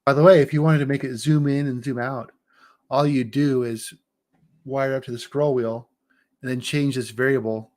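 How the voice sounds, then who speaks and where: A man in his forties chatting casually on a livestream as he walks through his engineering software, joking and restarting his sentences. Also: mid-range voice, fairly even intonation, moderate pace. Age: 40s.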